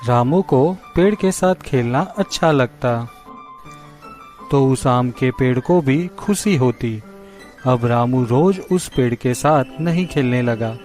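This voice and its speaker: A man narrating a scripted children's story in a simple, measured way. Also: medium pitch, darkish tone, soft background music.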